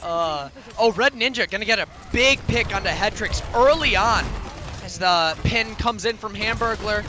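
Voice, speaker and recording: A man's voice: high-pitched, normal speed, background noise. A young man doing play-by-play commentary on a school esports match, calling action that builds in energy during fights. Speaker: play-by-play caster.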